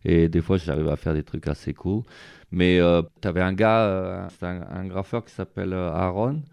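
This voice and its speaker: Man, very low voice, clear resonant tone, relaxed rambling interview talk. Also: normal speed. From France. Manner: relaxed, rambling, many fillers. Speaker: street artist guest. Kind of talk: casual interview chat.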